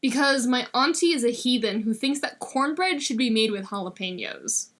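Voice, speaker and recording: Easygoing, kind college dude for a story read by a woman, blunt, slangy, bright timbre. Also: on the high side, expressive, moderate pace. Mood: matter-of-fact. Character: laid-back, kind young male college student cook.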